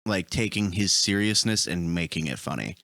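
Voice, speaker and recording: Low-voiced man, opinionated, close and dry. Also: lively intonation, moderate pace, fairly bright tone, dry recording. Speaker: podcast panelist. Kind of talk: casual film chat.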